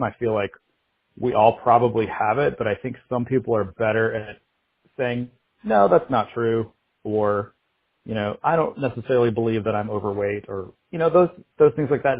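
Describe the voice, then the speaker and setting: A man in his thirties speaking as an interview guest, halting and reflective, restarting his sentences often. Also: mid-range voice, phone-quality sound. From United States. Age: 30s. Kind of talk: candid interview.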